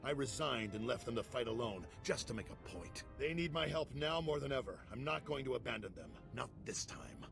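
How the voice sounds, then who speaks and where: A man playing a gruff, world-weary veteran commander in a game, gravelly, curt and sardonic. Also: mid-range voice, expressive, fairly quick, few pauses. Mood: pained, resolute. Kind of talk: video game cutscene acting. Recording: music behind the voice.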